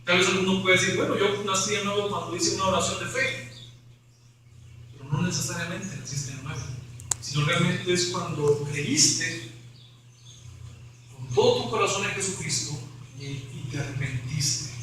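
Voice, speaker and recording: A man's voice: high-pitched, normal speed, bright, forceful tone, heavy reverb, recorded from a distance, slight background noise, rough recording. A man preaching an evangelical sermon as pastor, rising to emphatic questions.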